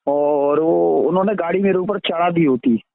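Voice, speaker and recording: A man giving a formal, somewhat halting interview account over a phone line. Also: on the high side, vocal fry, no room sound. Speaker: interview guest, activist. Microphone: phone call. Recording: muffled band-limited phone line.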